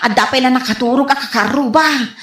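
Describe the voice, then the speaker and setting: An actress playing a scolding, questioning housewife in an Ilocano radio comedy drama, with a nagging manner and Ilocano accent. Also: female voice, high voice, fairly quick, a bit bright. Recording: echoey, with music behind.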